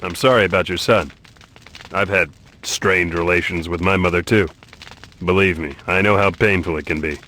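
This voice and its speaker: A man's voice: low-pitched, steady tone, normal speed, sharp tone. A man playing an earnest, troubled young warrior in a fantasy video game. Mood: sympathetic. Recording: faint background noise, clicks.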